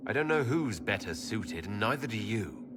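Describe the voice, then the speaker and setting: A man playing a cautious, commanding leader of heroes in a fantasy game, speaking in a measured, serious way. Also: fairly low pitch, big pitch swings, noisy background. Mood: challenging. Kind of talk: dramatic fantasy game acting.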